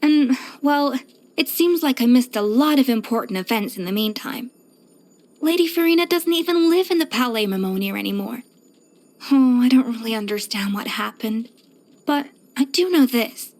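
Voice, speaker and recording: An actress voicing a proud, exacting young pastry chef in a video game, poised, self-assured and precise in manner. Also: female voice, a little high, expressive, moderate pace, darkish tone. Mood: earnest, admiring. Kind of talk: scripted video game character voice lines.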